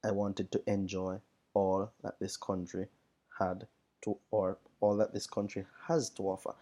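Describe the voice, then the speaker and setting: This is a Jamaican man with a fairly low, dark and relaxed voice. He talks casually, like a teacher sharing what life abroad has been like. He leans on his points with emphasis, often saying a phrase again to drive it home. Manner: emphatic, repeats phrases for stress. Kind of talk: casual spoken reflection.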